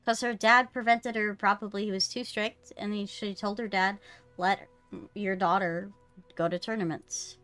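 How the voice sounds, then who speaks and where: A woman's voice: mid-range voice, steady tone, normal speed, dry recording. A young woman streamer reacting casually to a game with blunt, playful interjections. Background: soft background game music.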